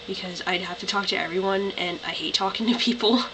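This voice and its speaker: A young woman with a Canadian accent talks casually to her webcam, her voice a little lower from tiredness. She rambles and fills pauses with "like" and "um". There is clear background noise in the recording. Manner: chatty, rambling, lots of "like" and "um". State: tired, voice lower than usual. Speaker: vlogger. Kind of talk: casual accent-tag vlog. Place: bedroom. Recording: noisy home webcam recording.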